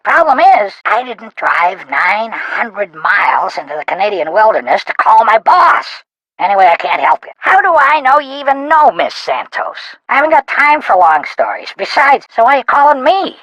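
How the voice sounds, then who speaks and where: A woman playing a gruff, folksy carousel operator in a video game, talking in blunt slang. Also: fairly high pitch, very animated, normal speed, fairly bright tone, no room sound, muffled recording. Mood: brusque, irritated. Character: gruff, folksy, brusque middle-aged woman carousel operator who loves fishing.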